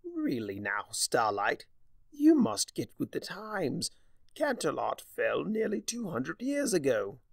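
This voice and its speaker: Male narrator as mocking chaos spirit, theatrical, smug, sardonic drawl, raised pitch, storybook villainy, dry sound. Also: wildly swooping pitch, slow pace, vocal fry, dry recording, full character voice. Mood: scolding. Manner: drawling, sardonic, grandly condescending.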